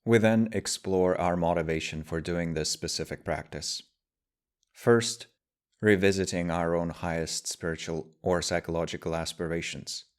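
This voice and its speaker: A man guiding a meditation as a teacher, speaking in measured, deliberate phrases with pauses. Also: sits low. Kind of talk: guided meditation, slow spoken instruction.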